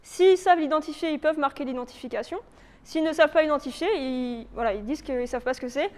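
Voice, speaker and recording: A woman's voice: high voice, fast talker, long reverb tail. A woman giving an informal, slide-based presentation as a project presenter, explaining in a hesitant, loosely improvised way. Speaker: presenter for a local authority biodiversity project. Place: reverberant indoor meeting room, live audience presentation.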